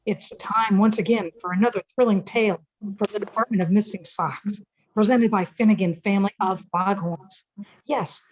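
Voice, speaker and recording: A woman's voice: mid-range voice, moderate pace, lo-fi sound, slight background noise. A woman announcing and narrating a scripted old-time radio show in a presentational manner.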